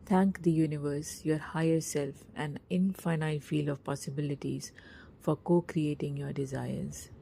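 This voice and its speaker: A woman narrating a scripted guided meditation in a soft, breathy, husky voice, with a slow, soothing, hushed delivery and long pauses. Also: low-pitched, steady tone, a little hiss or hum.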